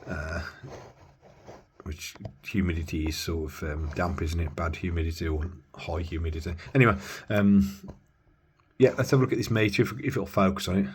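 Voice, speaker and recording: A man giving a casual hands-on demonstration of gear, rambling a little and thinking aloud as he works. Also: sits low. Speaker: radio hobbyist presenter. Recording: clear background noise.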